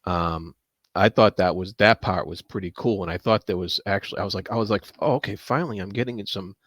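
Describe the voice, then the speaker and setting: Halting, lower-voiced man chatting about books. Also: fairly bright tone. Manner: halting, repeats words as he searches for phrasing. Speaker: panelist in a book discussion. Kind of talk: casual book discussion.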